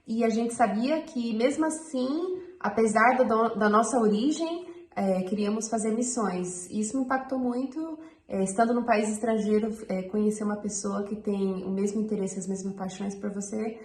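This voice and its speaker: A woman's voice: medium pitch, normal speed, darkish tone. A woman from Brazil, a missionary, sharing her personal testimony in a chatty storytelling way with frequent fillers. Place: home in Guatemala. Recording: echoey room.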